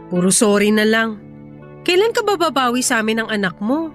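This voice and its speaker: A woman's voice: on the high side, big pitch swings, brisk, faint music behind. A woman acting in a radio drama as a hurt young mother, pleading emotionally and reproachfully with her partner. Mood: weary reproach.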